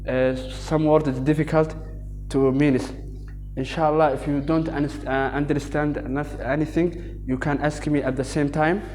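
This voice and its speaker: A man's voice: fairly high pitch, little pitch movement, moderate pace, darkish tone, soft background music. Young man, hesitant student presenter. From Yemen. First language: Arabic. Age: young adult. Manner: hesitant, many restarts and fillers, switches between two languages. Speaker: pharmacy student giving a class presentation. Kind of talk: halting bilingual presentation.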